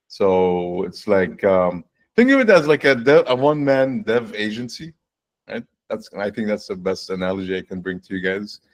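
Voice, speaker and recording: A man in his forties, a guest on a video-call fireside chat, explaining his work in a relaxed way with frequent restarts and "right?". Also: lowish voice. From born in the United States, raised in Saudi Arabia and Canada. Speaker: guest, fractional CTO.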